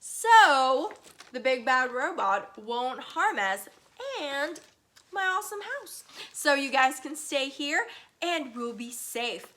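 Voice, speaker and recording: A friendly little alien in a storybook tale, played by a woman. It talks in a high, bright voice, chatty, proud and eager to reassure. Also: big pitch swings, takes it slowly, a little hiss or hum, full character voice. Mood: reassuring.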